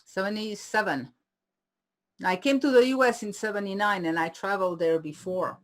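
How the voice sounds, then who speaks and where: A woman in her sixties speaks English with a French-Swiss accent, its vowels shaded by years spent in India. She chats in a playful, easygoing way and laughs readily. Her voice sits at an ordinary middle pitch. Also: normal speed. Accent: French-speaking Swiss with Indian-learned English. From Switzerland. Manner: chatty, laughs easily, playful. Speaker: guest. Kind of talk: casual interview chat.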